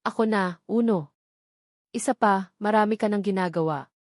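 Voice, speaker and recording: Narrator lady as devoted filipino mom, gentle but nervous, story reading, close dry sound. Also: mid-range voice, normal speed, no room sound, close to an everyday voice. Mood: anxious. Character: devoted young mother, tender, anxious, secretive.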